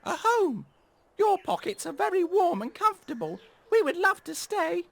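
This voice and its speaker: A storyteller voicing a tiny, kind male mouse in a children's story, with a squeaky voice. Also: big pitch swings, normal speed, gravelly, a bit bright, exaggerated voice. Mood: hopeful.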